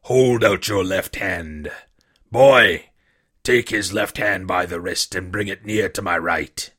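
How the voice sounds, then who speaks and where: A man voicing a cruel, cold old blind beggar with a raspy voice and an odd, menacing sing-song manner in a storybook reading. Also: low-pitched, slow, voice pushed down, heavily acted. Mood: cold, commanding. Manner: soft-spoken odd sing-song turning to harsh commands.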